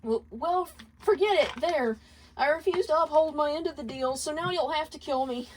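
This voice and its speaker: Woman voicing a high-pitched, sobbing little male imp. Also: normal speed, slight background noise, natural voice. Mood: tearful accusing. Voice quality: stammering, tearful. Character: sobbing, despairing male imp, accusing and pleading. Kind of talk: fanfiction reading.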